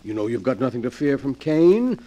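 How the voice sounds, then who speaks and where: An actor playing a coolly manipulative master of the house in a 1940s radio drama, condescending and smooth-talking. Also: male voice, medium pitch, big pitch swings, normal speed, dark tone, dull audio, lightly acted. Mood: scheming.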